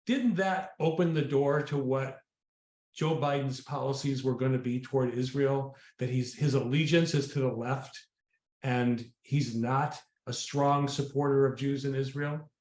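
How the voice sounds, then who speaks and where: A man's voice: medium pitch, fairly even intonation, a little slow, a little mellow. Man interviewer in an echoing room. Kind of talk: prepared, opinionated question. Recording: roomy, echoing sound.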